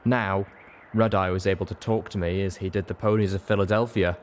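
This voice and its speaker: A man's voice: deep voice, fairly even intonation, a little fast, a little hiss or hum. A man reading scripted first-person fiction as an audiobook narrator.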